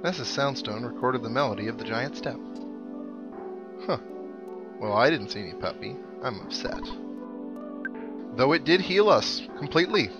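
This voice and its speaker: A man's voice: medium pitch, expressive, moderate pace, pauses often, dull audio. A man hosting a casual let's play, chatting to viewers and thinking aloud with some wry joking. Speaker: gaming video host. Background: video game music.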